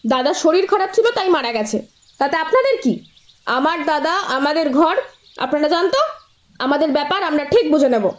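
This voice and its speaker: An actress playing an agitated, reclusive middle-aged sister in an audio drama, snapping at people in a shrill, dismissive way. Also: female voice, animated, moderate pace. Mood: defiant.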